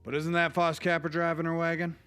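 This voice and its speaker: A man playing a measured, watchful western marshal in a radio drama, noncommittal in manner. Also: a little high, completely flat delivery, fairly bright tone, clean tone, close to an everyday voice. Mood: measured, curious. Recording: guitar music behind the voice.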